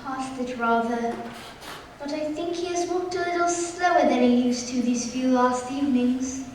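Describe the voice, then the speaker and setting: A woman's voice: high voice, normal speed, a little mellow, natural voice. A woman playing a loving, earnest daughter of a poor family in a stage radio play, speaking tenderly. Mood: subdued. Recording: distant echoey hall, faint noise.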